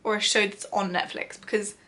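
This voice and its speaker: Bright-voiced young British woman, chatty. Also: medium pitch, flat delivery, fairly quick. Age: young adult. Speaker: vlogger. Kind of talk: casual chat to camera, answering a question list.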